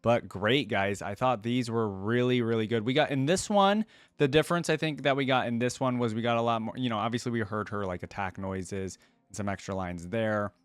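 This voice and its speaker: A man's voice: mid-range voice, fairly quick, clear, resonant tone, no room sound. A man hosting a reaction video, talking casually and rambling as he thinks aloud. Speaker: YouTube reaction host. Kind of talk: casual reaction commentary to camera.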